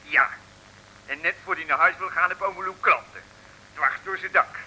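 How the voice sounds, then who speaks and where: An actor playing a forlornly cheerful Dutch chip-stall owner in an old comic radio serial, chattering and muttering ingratiating asides. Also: male voice, high voice, normal speed, bright, forceful tone, rough recording. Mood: dazed, gushing. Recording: old radio recording with faint noise.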